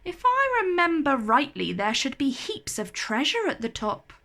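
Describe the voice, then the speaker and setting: A woman voicing an earnest, excitable, adventurous young boy in a children's fairytale. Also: high-pitched, normal speed, gravelly, exaggerated voice. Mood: eager.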